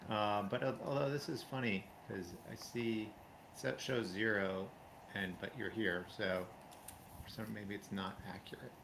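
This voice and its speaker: Man hosting a livestream, gravelly voice, fairly low, chatty, laughs a lot, faint hiss. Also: fairly even intonation, unhurried. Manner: friendly, chatty, laughs often. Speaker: livestream host. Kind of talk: casual livestream chat. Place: home, now living in Spain.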